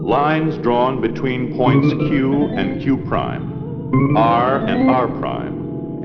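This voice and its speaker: A man narrating an educational film from a script in a measured, deliberate, precise manner. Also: medium pitch. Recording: dull old 16mm film soundtrack, long reverb, loud music.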